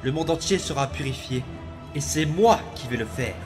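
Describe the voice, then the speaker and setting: A man's voice: medium pitch, animated, normal speed, a little mellow, faint music behind, close to an everyday voice. A man voicing a menacing, manipulative young boy out for revenge in a French comic dub, coaxing and commanding. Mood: grim, vengeful.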